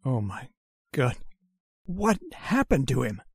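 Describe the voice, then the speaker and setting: A husky expedition helper acted by a man in an old-time radio horror play. He is anxious but practical, speaking tersely with wide pitch swings in a dry, close recording. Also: big pitch swings, slow pace, dry recording, exaggerated voice. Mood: shaken.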